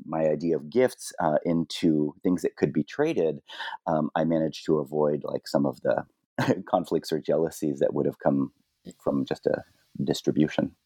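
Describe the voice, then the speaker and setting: Very deep-voiced man, measured. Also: warm tone, slightly rough, no echo. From Quebec. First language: French. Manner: measured, with frequent ums and restarts. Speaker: author guest. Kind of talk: interview, thoughtful explanation.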